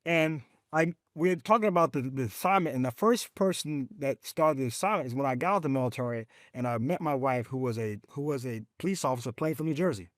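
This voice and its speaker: A man who is a veteran advocate, talking emphatically in a podcast conversation and telling stories with lively, faith-filled conviction. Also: fast talker, hardly any pauses, no echo, clean studio sound. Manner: emphatic, animated storytelling, speaks of faith; rising pitch when excited.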